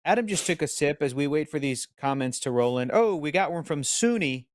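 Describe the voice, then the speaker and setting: A man's voice: on the high side, animated, fairly quick, dry recording, studio quality. A man co-hosting a casual live-stream podcast, playfully reading out viewer comments and teasing his co-hosts.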